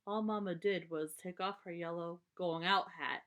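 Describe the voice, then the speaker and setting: A woman's voice: lowish voice, steady tone, normal speed, a bit bright. A woman reading a children's storybook aloud, sometimes stumbling over words and repeating them. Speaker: storybook reader.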